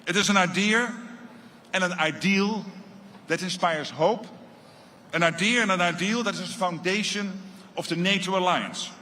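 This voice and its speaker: A man with a Dutch accent delivering an earnest, deliberately phrased formal speech as a guest speaker. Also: high voice, pushed and bright, a little hiss or hum. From Netherlands.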